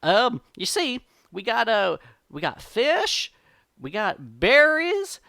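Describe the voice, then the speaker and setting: Hyper folksy rabbit for a storybook tale, way up high and loud, sly and excitable. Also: male voice, slow, gravelly, no echo, studio quality, exaggerated voice. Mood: excited, scheming. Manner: folksy, exclamatory, stalling. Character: wily, exuberant male rabbit trickster, folktale animal.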